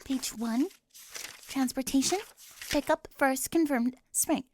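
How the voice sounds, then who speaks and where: Woman playing a prim, high-voiced controlling teen girl. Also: slow pace, slight background noise. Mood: officious, insistent. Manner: fussy, officious, over-precise, flustered. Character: prim, controlling, hyper-organized popular teen girl planning prom. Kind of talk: scripted ASMR audio roleplay.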